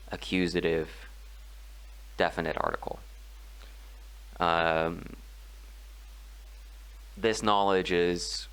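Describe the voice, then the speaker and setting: Male tutor, low voice, unhurried and hesitant delivery, talking through a grammar lesson. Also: a bit bright, poor audio. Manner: slow, measured explaining with frequent ums and pauses. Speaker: language tutor. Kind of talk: screen-recorded grammar tutorial.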